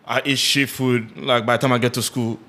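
A man's voice: mid-range voice, flat delivery, brisk, sharp tone. A man who grew up in Africa, a podcast guest telling casual stories and leaning heavily on "like". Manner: storytelling, heavy use of "like".

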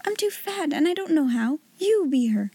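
A gushing young schoolgirl, put on by a woman reader, speaks very high and softly rounded. She is childlike, admiring and unsure of herself, a storybook friend who is easily excited. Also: normal speed, no room sound. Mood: bashful.

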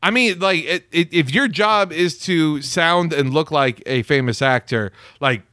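Man, podcast co-host, casual film chat, sarcastic, medium pitch, dry close sound. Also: measured pace, dry recording. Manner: sarcastic, deadpan jokes, frequent laughs.

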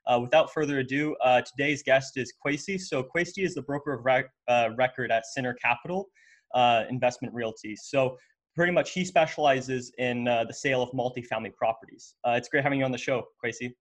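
A man's voice: medium pitch, a little fast. A man hosting a business podcast, talking loosely with lots of "uh" and "you know" as he introduces a guest. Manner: loose, filler-heavy, with frequent "uh" and "you know". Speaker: podcast host. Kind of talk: casual podcast interview.

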